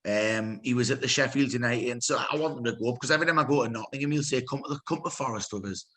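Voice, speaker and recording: A man hosting a loose chat among friends. His voice sits at an ordinary middle pitch. He rambles, restarts and swears freely, laughing between thoughts. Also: quick pace, bright, forceful tone. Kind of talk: casual chat among fans.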